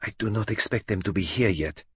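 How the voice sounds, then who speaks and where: A man voicing a calm, precise detective who speaks quietly and formally. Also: on the low side, a little fast, husky. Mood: whispering.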